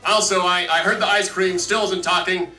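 Man acting fussy male cartoon robot, high bright voice, deliberate, matter-of-fact. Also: steady tone, soft background music, full character voice. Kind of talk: animated comedy acting.

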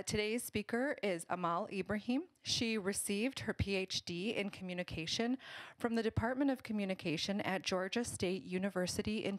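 A woman host reads out a guest's introduction in a lecture hall, holding a mic close. The sound is dry and close, with a mid-range pitch and a steady, scripted delivery. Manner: even, prepared reading. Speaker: event host. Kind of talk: reading a speaker introduction. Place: lecture hall with seated audience. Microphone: handheld mic.

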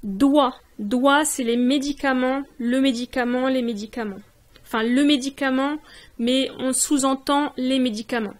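A woman giving a language lesson in a voice-over, saying each word slowly, repeating it and translating it. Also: high voice, fairly even intonation. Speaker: language teacher. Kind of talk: slow spoken language lesson. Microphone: voice-over on text slides.

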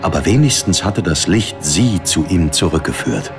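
A man's voice: sits low, lively intonation, moderate pace, over music. A man reading scripted dramatic narration for a fantasy audiobook.

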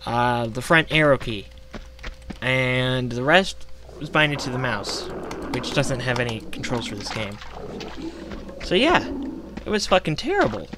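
A man's voice: mid-range voice, big pitch swings, measured pace, pauses often, background noise. A man who says he is losing his voice, ranting with a lot of profanity while commenting on a game. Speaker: gaming vlogger. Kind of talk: casual ranting commentary over gameplay. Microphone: gaming headset.

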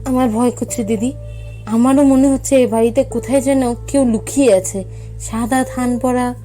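A woman's voice: on the high side, fairly even intonation, moderate pace, warm tone, faint music behind, lightly acted. A woman playing a nervous, easily frightened younger sister in an audio drama, anxious and wondering. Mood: afraid. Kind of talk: Bengali audio story acting.

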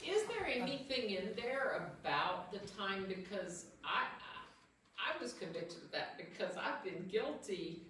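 A woman's voice: medium pitch, sing-song, measured pace, fairly bright tone. A woman taking part in a Bible study discussion, speaking candidly and hesitantly as she thinks aloud. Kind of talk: candid discussion, asking a question. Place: echoey room, group meeting. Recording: distant, very echoey room, noise.

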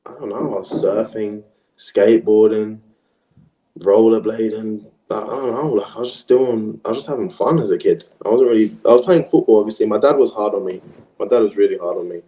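A young man from Australia, whose first language was French, chatting casually as an interview guest in a relaxed, rambling way with many fillers. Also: lowish voice, a little fast. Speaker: interview guest, professional footballer. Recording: echoey room.

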